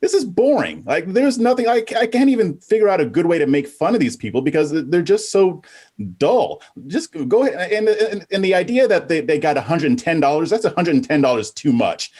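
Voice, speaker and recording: Animated, higher-pitched man. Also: brisk, breathy edge. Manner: dismissive, joking, stammering restarts. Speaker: panelist. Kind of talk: casual opinionated panel chat.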